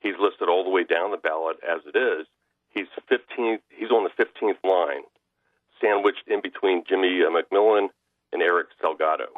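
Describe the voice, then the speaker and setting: An American man giving political commentary as a radio guest over the telephone, halting and often restarting his sentences. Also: low-pitched, dry recording. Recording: narrow tinny phone line.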